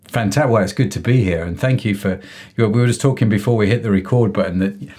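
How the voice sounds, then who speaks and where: A man's voice: on the low side, fast talker, a little mellow, husky. Upbeat chatty male podcast host. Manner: upbeat, chatty, jokey with fillers. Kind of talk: podcast intro and interview.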